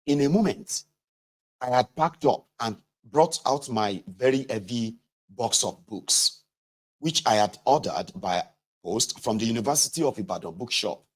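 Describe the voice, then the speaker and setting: Guy reading a political memoir out loud, medium pitch, measured with pauses for emphasis. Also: animated. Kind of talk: audiobook-style reading aloud of a first-person memoir.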